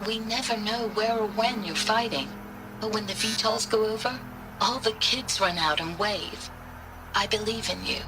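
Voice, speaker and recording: A woman's voice: medium pitch, moderate pace, music in the background, natural voice, rough recording. An actress playing an anxious, loving woman recording an intimate, plaintive message to her soldier partner. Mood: proud, tender. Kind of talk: dramatic voice-message monologue.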